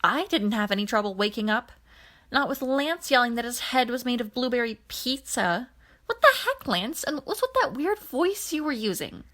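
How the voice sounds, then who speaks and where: A woman's voice: a little high, big pitch swings, normal speed, a bit bright, pitched above the performer's own voice. A woman voicing a sarcastic teenage space hero in an audiobook, with a blunt, needling delivery. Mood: irritated.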